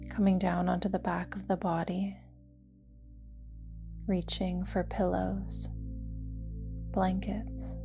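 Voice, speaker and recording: A woman leading a guided yoga nidra meditation in a soft, soothing, near-whispered voice with gentle, measured phrasing. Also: on the low side, creaky.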